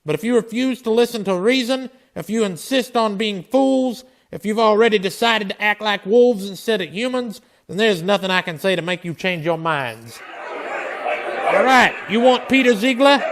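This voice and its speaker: A man's voice: sits high, expressive, moderate pace, vocal fry, a bit bright, a faint crowd, exaggerated voice. A man playing a stern, world-weary marshal in a Western radio drama, with a commanding, curt manner. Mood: defiant, challenging. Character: stern, world-weary male frontier marshal facing down lynch mobs.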